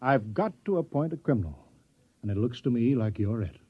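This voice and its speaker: A man's voice: medium pitch, expressive, brisk, husky. A man playing a fussy, fretful and bossy colony mayor in an old-time radio comedy-drama. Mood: harried. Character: fussy, flustered small-town colony mayor. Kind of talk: 1950s radio acting. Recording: muffled old radio broadcast.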